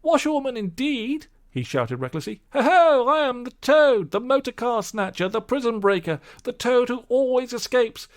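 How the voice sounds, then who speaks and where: Boastful toad, high voice, pompous, reckless. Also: male voice, lively intonation, moderate pace, hardly any pauses. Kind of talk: storybook audiobook acting.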